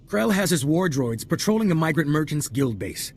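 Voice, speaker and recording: An actor playing a dutiful military corporal who gives a formal, clipped briefing and ends nearly every point with "sir". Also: male voice, on the high side, very animated, normal speed, slight background noise. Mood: earnest. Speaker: briefing officer. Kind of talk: video game cutscene voice acting.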